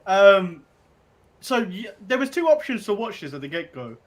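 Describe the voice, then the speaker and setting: A man's voice: high-pitched, sing-song, moderate pace. A man hosting a casual chat show, rambling and chuckling as he talks. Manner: rambling, chuckling, restarts often. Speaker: podcast host.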